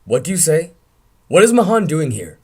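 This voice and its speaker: A man playing a gruff, frustrated middle-aged uncle who drives a bus, in a screenplay table read. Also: a little high, very animated, moderate pace, voice pushed up. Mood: alarmed, irritated.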